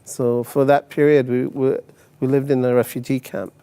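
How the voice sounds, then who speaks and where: Mellow-voiced man giving halting testimony. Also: medium pitch, flat delivery, clear, resonant tone. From Uganda, later England. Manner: halting, repeats words as he recounts. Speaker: testimony guest. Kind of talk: personal testimony in an interview.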